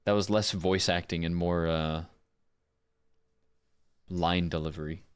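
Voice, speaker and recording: Male streamer, lowish voice, casual off-the-cuff chat. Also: steady tone, a little slow, clear, resonant tone. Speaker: streamer and voice actor.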